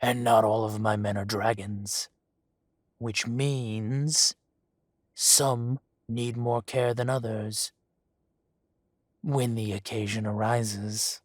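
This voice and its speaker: A man voicing a menacing adult male dragon gang boss in an audio roleplay drama. He speaks softly and condescendingly, with deliberate, slow threats and hushed whispers. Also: lowish voice, little pitch movement, no room sound, studio quality. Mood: silky menace. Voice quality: soft-spoken, silky and condescending, drops to whispers.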